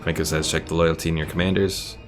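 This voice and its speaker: A man's voice: very deep voice, flat delivery, fast. A man giving casual live gameplay commentary and chatting with viewers, thinking aloud as he goes. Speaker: game streamer playing a strategy game. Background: game music.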